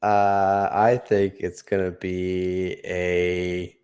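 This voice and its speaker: Deep-voiced laid-back co-host man. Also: little pitch movement, very slow, a little mellow. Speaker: show co-host. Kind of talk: casual livestream chat.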